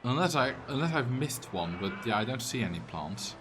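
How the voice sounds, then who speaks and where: A young man speaks English with a Dutch accent at an ordinary mid-range pitch, chatting loosely while he plays a game on stream. He reads viewer messages aloud and answers them with jokes, and he sometimes breaks into loud, exasperated complaints. Faint game music plays quietly behind him. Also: very animated, normal speed. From Netherlands. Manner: bursts into loud exasperated rants. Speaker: livestreamer. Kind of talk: casual gameplay commentary on a live stream.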